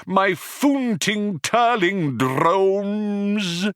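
A man voicing a pompous, merciless alien male poet, with a whirring, strident voice that climbs high as he declaims. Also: animated, very slow, a bit bright, no echo, clean studio sound, heavily acted. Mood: impassioned, declaiming.

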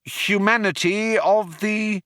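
A man's voice: high voice, fairly even intonation, takes it slowly, a bit bright, smooth, no room sound, clean studio sound, pitched above the performer's own voice. A man voicing a flustered young Earthman who flounders and hesitates as he bluffs his way through. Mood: bright, floundering.

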